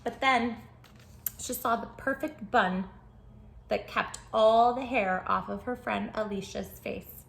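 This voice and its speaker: High-voiced woman reading a children's story. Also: slow pace, gravelly, slight background noise. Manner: animated, dramatic emphasis, addresses young listeners directly. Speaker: children's book read-aloud host. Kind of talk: expressive storytime narration for young children. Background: music.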